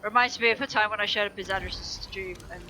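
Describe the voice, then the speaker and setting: A woman co-hosting a charity game stream, chatting in an animated way and acting out quoted lines. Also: fast talker, a little hiss or hum, rough recording. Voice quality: higher-pitched than she expects herself to sound. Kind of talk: casual chat while playing a game.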